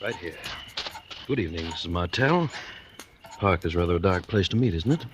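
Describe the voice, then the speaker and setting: Low-voiced shrewd politician. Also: male voice, expressive, brisk. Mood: courteous, curious. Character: wealthy, shrewd, wary politician running for governor. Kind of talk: 1940s radio acting. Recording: noisy, crackly old radio recording.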